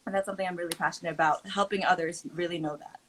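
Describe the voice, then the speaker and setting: A woman's voice: lowish voice, fairly even intonation, very fast, a bit bright, a little hiss or hum. A woman from Oakland, California, whose first language is Mandarin, telling her story as a guest in a casual group chat in an earnest way with frequent fillers.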